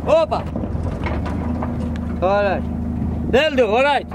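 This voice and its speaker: A man's voice: very high voice, expressive, takes it slowly. A man in his seventies with a Greek accent, a miner speaking broken English in a teasing, joking way outdoors at a mine. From Greece. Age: 70s. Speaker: opal miner. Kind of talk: casual chat. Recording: loud outdoor noise.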